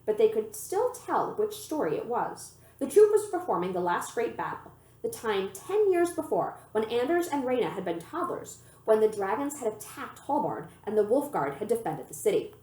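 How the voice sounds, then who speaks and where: A woman reading a children's novel aloud in an expressive, storytelling manner. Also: on the high side, normal speed. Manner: animated storytelling, acts out the dialogue. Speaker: library reader of children's books. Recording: echoey room.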